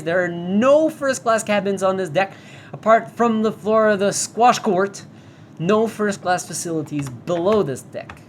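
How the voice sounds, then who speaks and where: A woman's voice: on the low side, a little hiss or hum. A woman giving casual, profane commentary while playing a VR demo, often reading in-game signs aloud. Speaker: VR game reviewer.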